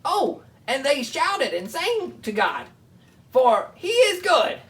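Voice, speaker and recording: Animated, high-voiced woman teaching children. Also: takes it slowly, distant mic. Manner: animated, simple explanations for children. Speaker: children's Bible show host. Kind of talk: children's Bible teaching, chatting with a puppet.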